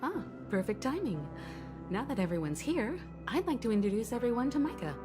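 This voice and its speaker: A confident polite lady leader in a video game, lively highish voice, music behind. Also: a little fast. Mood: poised, welcoming. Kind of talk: dubbed video game story dialogue.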